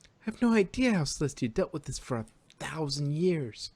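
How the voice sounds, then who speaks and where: A man's voice: a little high, very animated, normal speed, darkish tone. A man voicing a stressed, irritable young female pony princess in an amateur audio drama, with emphatic exasperation. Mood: exasperated.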